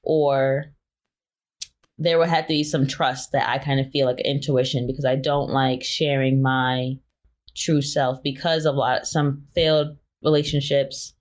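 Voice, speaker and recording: A woman's voice: a bass voice, little pitch movement, measured pace. Hesitant young woman, filler-heavy speech. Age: 20s. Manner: soft-spoken, many fillers, hesitant. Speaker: guest. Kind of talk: candid personal interview.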